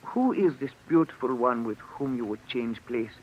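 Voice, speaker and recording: An actor playing a sinister, courteous dealer in strange bargains, formal and measured, in old-time radio drama style. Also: male voice, mid-range voice, lively intonation, breathy edge. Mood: coolly ominous. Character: sinister, courteous male dealer in body exchanges. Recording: muffled, distant vintage radio recording.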